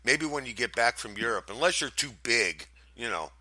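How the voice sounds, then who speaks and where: A man's voice: medium pitch, animated, normal speed, over a noisy crowd. A man in his fifties who hosts a radio show, chatting in an enthusiastic, rambling way and saying "man" often. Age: 50s. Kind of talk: casual chat.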